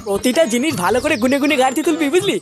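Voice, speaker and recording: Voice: high-pitched, lively intonation, very fast. A performer voicing a bossy, practical male gang leader in a comedy cartoon, handing out orders. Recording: music behind the voice. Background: background music.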